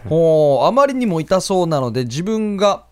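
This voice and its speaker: A man's voice: a little high, sing-song, normal speed, a little mellow. A man hosting a casual radio show, chatting and reading out listener mail.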